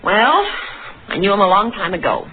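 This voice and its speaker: A woman's voice: mid-range voice, big pitch swings, moderate pace, close to an everyday voice. An actress playing an evasive, defensive married woman suspected of a crime in an old radio drama. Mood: guarded, defensive. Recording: muffled, noisy old radio broadcast.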